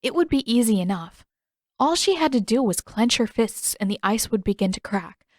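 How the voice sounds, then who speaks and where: A woman reading scripted fiction as an audiobook narrator, with expressive storytelling. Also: on the high side, normal speed, dry recording, studio quality.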